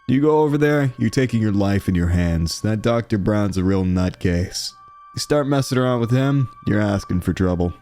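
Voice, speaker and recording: A man's voice: fairly low pitch, animated, normal speed, dark tone, faint music behind, close to an everyday voice. A man playing a blunt shop clerk in a motion comic, curt and sarcastic. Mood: sardonic warning.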